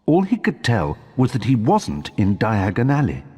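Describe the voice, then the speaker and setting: A man's voice: medium pitch, wildly swooping pitch, normal speed, darkish tone, slightly rough. A man reading scripted storybook narration in a recording with long reverb.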